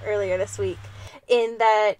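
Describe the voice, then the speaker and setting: A young American woman co-hosting a podcast, chatting casually in an excitable, slangy, expressive way. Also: medium pitch, unhurried, a little hiss or hum, low-quality recording. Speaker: podcast co-host. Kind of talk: casual chat.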